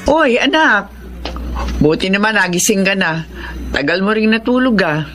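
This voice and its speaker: A woman's voice: medium pitch, expressive, normal speed, over music, lightly acted. A woman playing a chatty, bossy but welcoming middle-aged mother and aunt in a Filipino radio drama.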